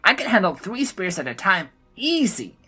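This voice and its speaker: Woman doing a high-pitched, cocky, mischievous gnome. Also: very animated, moderate pace, pushed and bright, husky, full character voice. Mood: gleeful, taunting. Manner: taunting, cocky. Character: gleeful mischievous male gnome arsonist, cackling and taunting. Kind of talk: fantasy audio drama character acting.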